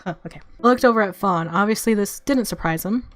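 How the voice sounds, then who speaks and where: A woman reading a visual novel aloud as expressive story narration. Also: mid-range voice, moderate pace.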